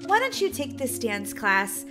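A woman's voice: a little high, very animated, unhurried, over music. A woman voicing a stern, dismissive dance teacher in a children's roleplay story.

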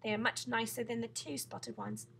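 Woman putting on a prim, proud lady ladybug, bright voice. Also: fairly high pitch, little pitch movement, normal speed, vocal fry, a little hiss or hum. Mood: prim, confiding. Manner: precise, formal, a little snobbish. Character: proud, prim, genteel female ladybug, modest yet self-satisfied. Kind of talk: children's storybook character reading.

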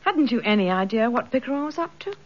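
An actress playing a composed, gently inquiring wife in a radio drama, with a husky voice. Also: female voice, on the high side, lively intonation, quick pace, slight background noise, lightly acted. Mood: concerned, inquiring. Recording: muffled radio drama recording.

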